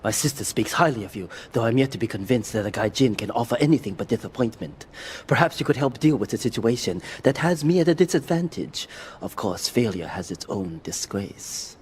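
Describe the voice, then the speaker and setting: An actor playing a proud, stern Japanese yakuza boss in a video game, speaking formally and haughtily. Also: male voice, medium pitch, expressive, brisk, a little mellow, a little hiss or hum, natural voice. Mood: formal, disdainful. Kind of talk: video game cutscene acting.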